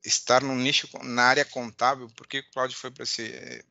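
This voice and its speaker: A middle-aged man with a southern Brazilian border accent touched by Uruguayan, giving an informal online presentation in a halting way, repeating words and checking in often. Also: mid-range voice, level tone, gravelly, sharp tone, no echo, muffled recording. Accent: southern Brazilian border accent with Uruguayan influence. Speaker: conference speaker, accountant and data analyst. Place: at home, indoors.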